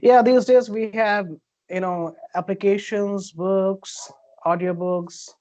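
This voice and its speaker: A polite host puts carefully phrased questions to a guest and responds with brief words of approval. The voice sits at a middle pitch. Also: steady tone, takes it slowly, darkish tone, highs cut off. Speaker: interview host. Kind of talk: scripted interview questions.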